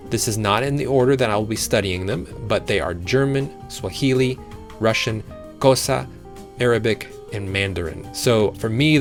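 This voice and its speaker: A man talking casually and enthusiastically to camera about his language-learning plans. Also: mid-range voice. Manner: enthusiastic, upbeat, occasional fillers. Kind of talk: casual explanatory vlog to camera. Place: home in Asheville, North Carolina.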